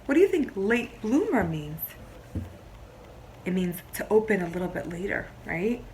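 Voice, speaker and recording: A woman teacher reading a picture book aloud to young children, expressively, pausing to explain simply and ask questions. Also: medium pitch, slight background noise, poor audio. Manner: expressive storybook delivery, explains simply, pauses to question listeners.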